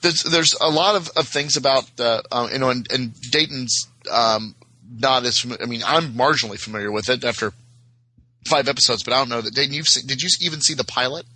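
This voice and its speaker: Middle-aged American male, very bright and sharp-edged, mid pitch, rambling casual podcast guest. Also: brisk, dry recording, rough recording. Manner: rambling, hesitant, frequent filler words. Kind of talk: casual chat about TV shows.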